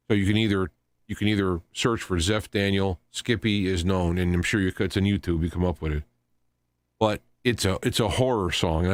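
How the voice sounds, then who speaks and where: A man hosting a radio talk show, giving an unscripted commentary in a halting, rambling way, often repeating and restarting his phrases. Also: lowish voice, dry recording. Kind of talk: unscripted news commentary monologue.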